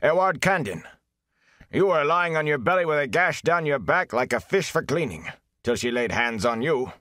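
A man voicing a calm, stern village mayor who speaks softly and rumbles. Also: normal speed, no room sound, clean studio sound. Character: calm, imposing male village mayor and innkeeper.

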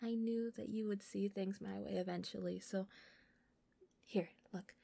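A woman playing a shy, sweet, obsessively devoted young captor in an intimate audio roleplay, speaking softly and hesitantly, sometimes near a whisper. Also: mid-range voice, steady tone, unhurried, vocal fry, no echo. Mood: sweet, encouraging. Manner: soft, hesitant, coaxing, breaking into whispers.

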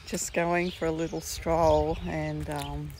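A woman in her sixties with a husky voice, chatting slowly and warmly in a casual live stream from a sunny park, speaking into a phone held at arm's length. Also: low voice. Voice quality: husky today. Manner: slow, heartfelt, gently upbeat. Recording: faint outdoor noise with birds.

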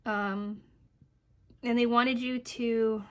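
Slightly hoarse woman chatting through a review. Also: fairly high pitch, flat delivery, drawn-out words, fairly bright tone. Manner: hesitant, chatty, trailing off and checking with "right?". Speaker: sewing pattern reviewer. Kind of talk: casual review narration over project photos.

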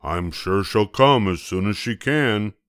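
A man's voice: on the low side, expressive, a little slow, a bit bright, no echo, clean studio sound. A man voicing a kindly, encouraging farm animal in a storybook, exclaiming and reassuring. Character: kindly, encouraging farm animals: cow, horse, duck, sheep.

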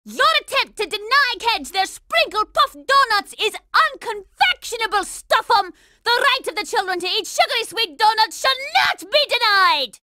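A woman's voice: extremely high pitch, lively intonation, normal speed, bright, forceful tone, no room sound, studio quality, exaggerated voice. A woman voicing a bossy, hot-tempered young girl soldier in a cartoon video game, brash, scolding and sarcastic. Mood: defiant, angry.

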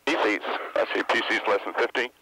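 A man serving as a shuttle astronaut, making calm, businesslike, clipped technical callouts over a crew intercom. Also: on the low side, quick pace. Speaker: space shuttle crew astronaut on flight deck. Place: inside shuttle cockpit during launch. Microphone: crew helmet headset intercom. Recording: noisy cockpit intercom with clicks. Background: loud cockpit noise.

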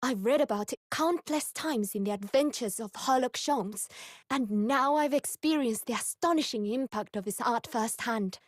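Lady doing devoted young game assistant, high voice, very polite n earnest, dry sound. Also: moderate pace, no room sound, lightly acted. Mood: awed, delighted. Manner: refined, sincere, precise.

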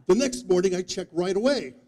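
A man in his fifties giving a humorous storytelling speech, with theatrical deadpan delivery and dramatic pauses. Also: high-pitched, slight background noise. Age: 50s. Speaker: speech contest speaker. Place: meeting room before a live audience, indoors. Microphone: distant audience camera microphone.

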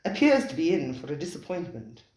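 Narrator voicing suave worldly gentleman, measured and knowing, animated pitch, echoey room. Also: lightly acted. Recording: roomy echoing room.

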